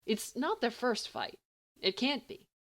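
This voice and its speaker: A woman's voice: fairly high pitch, sing-song, unhurried. A woman narrating scripted fiction as an audiobook reader.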